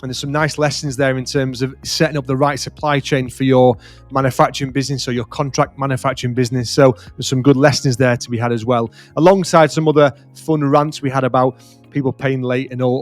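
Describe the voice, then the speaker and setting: A talkative man of forty hosts a podcast, chatting loosely and circling back on his words. His voice sits at an ordinary middle pitch. The sound is dry and close, with no room to it. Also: steady tone, fast talker, no echo, soft background music. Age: 40s. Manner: chatty, loose with many restarts and fillers. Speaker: podcast host. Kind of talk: casual interview chat.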